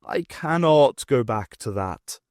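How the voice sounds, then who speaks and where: A man voicing a proud, anguished male cat in human form in an audiobook, speaking slowly and hissing through clenched teeth. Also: mid-range voice, lively intonation, a little mellow, no echo, studio quality. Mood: shaken. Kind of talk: audiobook character voice.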